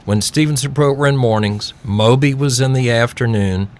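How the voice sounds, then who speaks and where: A man hosting an interview, feeling out his unscripted questions in halting fragments. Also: medium pitch. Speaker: interviewer, podcast host.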